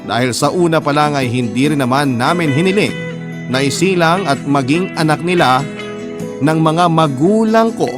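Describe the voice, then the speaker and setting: A fairly high-voiced Filipino man acting as a devoted elder sibling narrates a sorrowful radio story. He is earnest, polite and confiding, with music playing clearly behind him. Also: lively intonation, normal speed, lightly acted. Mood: earnest, wistful. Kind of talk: first-person dramatic radio narration.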